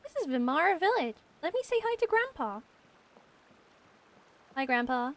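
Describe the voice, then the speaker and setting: High-pitched woman voicing game dialogue. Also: sing-song, moderate pace. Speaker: amateur voice actor. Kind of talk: character dubbing of game dialogue.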